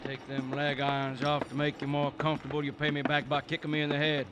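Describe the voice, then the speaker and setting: An actor playing an aggrieved, folksy small-town sheriff, in 1950s radio style. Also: male voice, fairly high pitch, monotone, fast talker, natural voice. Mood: stern, irritated. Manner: folksy, stern. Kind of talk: 1950s radio western acting. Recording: noisy vintage radio recording.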